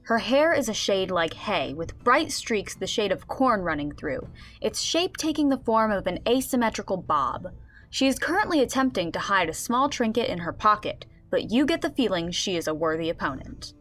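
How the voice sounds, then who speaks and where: A woman playing an expressive game master, narrating a fantasy story in a scripted radio drama. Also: medium pitch, normal speed, soft background music. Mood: storytelling.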